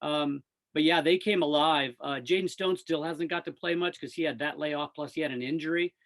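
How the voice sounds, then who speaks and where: A man's voice: a little high, steady tone, normal speed, a bit bright. A man speaking as a radio play-by-play announcer in a casual, enthusiastic sports interview.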